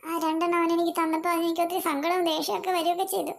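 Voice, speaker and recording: A woman's voice: very high voice, level tone, rapid-fire, creaky, voice pushed up. A woman voicing a young boy who whines and pleads with his mother. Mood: sorry, pleading. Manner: whiny, pleading. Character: young boy, greedy and sulky, easily sorry.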